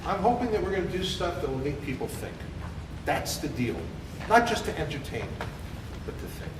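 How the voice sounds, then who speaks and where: A man, a rabbi, giving an informal welcoming speech, halting and building up with repetition. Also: sits high, very animated. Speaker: rabbi introducing the event. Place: temple hall, distant camera mic. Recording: echoey hall, distant mic, clear background noise.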